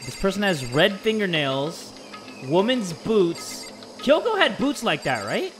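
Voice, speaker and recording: A man thinks aloud as he plays through a game, filling his talk with casual fillers and asides to his viewers. His voice sits a touch high for a man, and it sounds hoarse and tired from a long session of recording. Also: big pitch swings, unhurried, music in the background. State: hoarse, voice worn out. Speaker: gaming video host. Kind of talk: let's play commentary, reading game text aloud.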